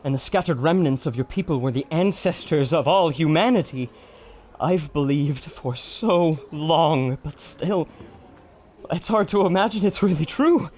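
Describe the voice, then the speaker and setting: An actor playing an earnest, wonder-struck scholar and adventurer in an audio drama, speaking articulately. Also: male voice, on the high side, lively intonation, measured pace, a little mellow, a little hiss or hum. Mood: reverent awe.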